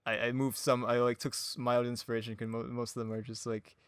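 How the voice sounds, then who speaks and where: A man's voice: mid-range voice, flat delivery, a little fast, clear, resonant tone, dry recording, studio quality. A man chatting casually as a podcast guest, rambling, giggly and self-deprecating. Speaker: podcast guest, audio drama creator. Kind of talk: casual chat.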